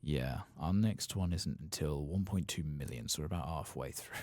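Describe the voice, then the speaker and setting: A man softly murmuring low-key ASMR game commentary to himself, breathy and gravelly, often slipping into a whisper. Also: normal speed, dry recording. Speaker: streamer playing a video game.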